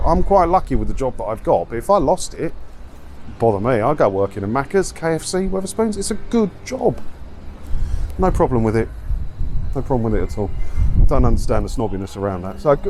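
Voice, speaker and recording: A man's voice: medium pitch, a little mellow. A man with a southeast English accent giving casual, rambling commentary as a vlogger, chatty and colloquial, repeating himself and tagging questions onto his sentences. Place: outdoors, walking around a seaside town. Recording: outdoor street ambience with traffic noise.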